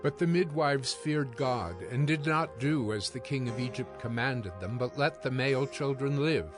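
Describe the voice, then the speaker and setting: Solemn male scripture narrator over music. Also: mid-range voice. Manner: measured, solemn.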